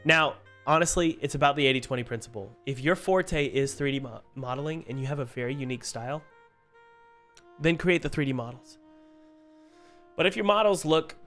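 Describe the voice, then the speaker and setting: A young man hosting a casual live stream as an indie game developer, a bit hoarse from a cold, talking loosely with lots of fillers and restarts. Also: fairly high pitch, brisk, fairly bright tone, faint music behind. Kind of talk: casual live-stream chat answering viewers. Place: home studio. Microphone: studio mic.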